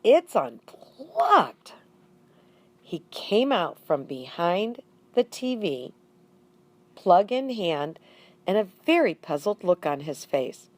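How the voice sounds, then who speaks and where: A woman reading a children's story aloud in an expressive, deliberate narrating style. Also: lowish voice, no echo. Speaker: audiobook reader.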